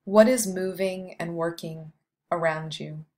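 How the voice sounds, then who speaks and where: Soft-spoken lady leading a meditation, lower voice, takes it really slow. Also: faint music behind. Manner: slow, soft, soothing delivery with long pauses. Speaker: meditation guide. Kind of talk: guided meditation.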